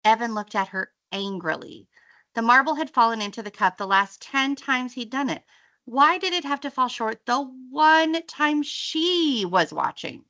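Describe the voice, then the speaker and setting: A woman reading a children's story aloud in an expressive, storytelling style. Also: high-pitched, a little slow. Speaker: teacher reading a book aloud.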